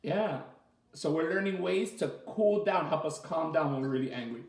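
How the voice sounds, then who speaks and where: High-voiced man reading to kids, echoey room. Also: moderate pace. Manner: animated, asks and answers simple questions. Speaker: martial arts teacher reading a storybook to children. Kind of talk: children's story time with questions to listeners. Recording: roomy, echoey sound.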